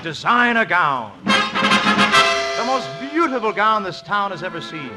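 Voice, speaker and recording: A man playing a suave, showy gentleman with theatrical flourish in a 1950s radio musical. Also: high-pitched, huge pitch swings, slow, full character voice, rough recording. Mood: grand. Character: suave, showy gentleman presenting ladies' fashions. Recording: old radio broadcast with loud music.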